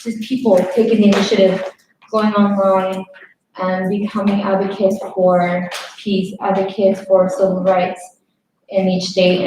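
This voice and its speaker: A woman's voice: mid-range voice, mellow. A young woman panelist from Texas speaking earnestly, with many "like" and "um" fillers. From Texas, United States. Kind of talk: panel discussion. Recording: very echoey with faint noise.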